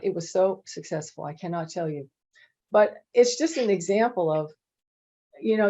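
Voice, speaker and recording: Woman, middle-aged, lowish voice, chatty storyteller, video call, dull lo-fi sound. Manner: chatty, animated, many "you know" fillers. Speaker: panel presenter. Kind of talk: casual anecdotal storytelling. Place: home office with bookshelves.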